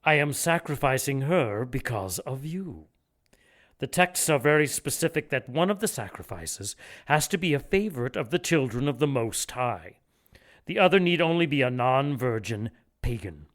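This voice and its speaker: An actor playing a gloating, condescending villain bishop in an audio drama, with a pompous, taunting delivery. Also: male voice, medium pitch, lightly acted. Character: gloating male villain bishop plotting apocalyptic sacrifice.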